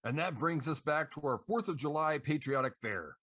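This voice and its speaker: A man's voice: a little high, expressive, normal speed, a bit bright. An American man hosting a podcast in a scripted radio-DJ style. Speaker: podcast host.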